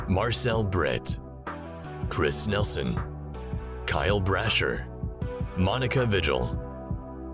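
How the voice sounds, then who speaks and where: A man announcing scripted audio drama credits. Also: low voice.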